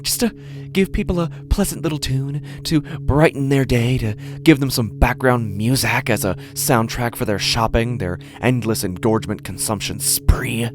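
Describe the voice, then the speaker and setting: A man playing a desperate, ingratiating street busker in a horror audio drama, speaking in a theatrical, halting way and repeating himself. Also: medium pitch, music in the background, natural voice. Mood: desperate, pleading. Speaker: actor in a horror fiction podcast. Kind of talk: dramatic first-person audio fiction monologue.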